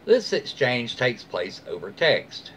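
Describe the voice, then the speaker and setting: A man's voice: medium pitch, sing-song, takes it slowly, a bit bright, a little hiss or hum. A middle-aged man reads written stories aloud in an informal way, dropping in casual asides and voicing dialogue in his own voice. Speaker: story-reading host. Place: small room at home, seated in an armchair.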